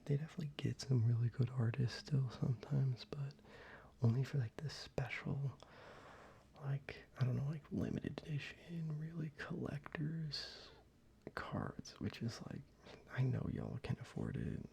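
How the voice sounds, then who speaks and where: A man talking through his card collection in a soft-spoken ASMR video, mostly whispering in a relaxed, casual way with the odd swear word. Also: medium pitch, moderate pace, creaky, slightly rough. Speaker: ASMR creator. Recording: slightly dull, faint background noise.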